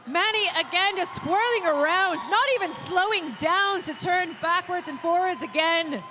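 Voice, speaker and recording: A woman giving play-by-play roller derby commentary with quick calls and playful banter, with a faint arena hum behind her. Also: very high-pitched. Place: leisure centre arena.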